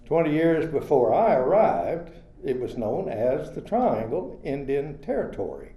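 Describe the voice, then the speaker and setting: An older man from Oklahoma reading a prepared personal history as a storyteller at an event, in a measured, plain way. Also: a little high, sing-song. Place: retirement community event room. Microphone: handheld microphone. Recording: echoey, slightly dull room recording.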